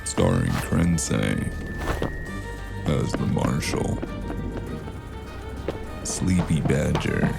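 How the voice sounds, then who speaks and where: A man playing a brooding, mysterious noir storyteller, narrating in ominous, measured, terse phrases. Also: rumbling low, expressive, creaky, over loud music, exaggerated voice. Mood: solemn announcing. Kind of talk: slow noir scripted narration.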